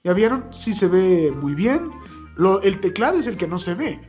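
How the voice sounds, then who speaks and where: A man's voice: sits high, sing-song, normal speed, darkish tone, soft background music. A young man speaking in a casual, chatty, folksy way as he hosts a product-test vlog. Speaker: video host. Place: plain indoor room, also outdoors at night.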